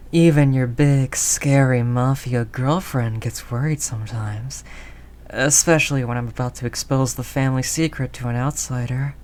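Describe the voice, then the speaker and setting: A woman playing a tough, doting mafia heiress girlfriend in an intimate audio roleplay, low-voiced, talking in affectionate slangy tough talk. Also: darkish tone, slight background noise. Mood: admiring.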